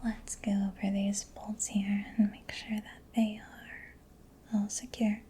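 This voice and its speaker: Slow, whispering soft-spoken ASMR woman. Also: mid-range voice, level tone. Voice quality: soft, breathy, mostly whispered. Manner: gentle, soothing, unhurried, murmured reassurance. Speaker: ASMR performer. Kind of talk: soft-spoken ASMR mechanic roleplay with personal attention. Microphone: close ASMR microphone.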